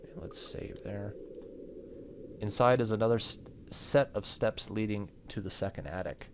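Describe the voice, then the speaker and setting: Low-voiced man reading game dialogue aloud. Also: a little slow, a little hiss or hum. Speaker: gaming video host.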